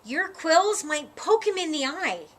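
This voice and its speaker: A woman's voice: very high-pitched, very animated, measured pace, a bit bright, a little hiss or hum, pitched above the performer's own voice, exaggerated voice. A woman voicing an outspoken, worried little bunny in a children's storybook, earnest and emphatic. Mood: eager.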